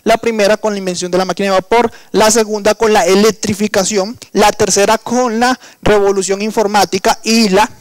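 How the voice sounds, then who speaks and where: A man's voice: high voice, fairly even intonation, fairly quick, few pauses, smooth, dry recording. A young man with a coastal Colombian accent, teaching a workshop in an explanatory lecture style with frequent fillers and restarts. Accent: Colombian Caribbean coastal Spanish. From Colombian Caribbean coast. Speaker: workshop instructor. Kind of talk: online lecture.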